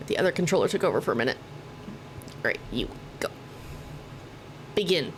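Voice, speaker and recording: A woman's voice: deep voice, lively intonation, a little fast, rough recording. An American woman rambling casually and informally as she livestreams a video game, sounding a bit tired. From Oklahoma, United States. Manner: rambling, informal, occasional swearing. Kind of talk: casual chatty gaming stream commentary. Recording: noticeable background noise behind the mic.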